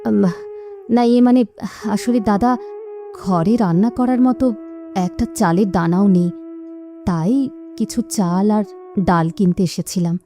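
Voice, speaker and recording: A woman voicing a weary, gentle widowed mother in a village in a cartoon. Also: medium pitch, measured pace, pauses often, faint music behind, lightly acted. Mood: hesitant. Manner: pleading, weary.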